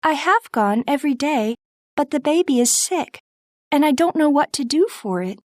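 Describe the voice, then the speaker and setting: A woman voicing a gentle, shy young girl who speaks softly and anxiously, in a storybook reading. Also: animated, moderate pace, no echo, studio quality. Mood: anxious, sad. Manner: soft, anxious, higher-pitched.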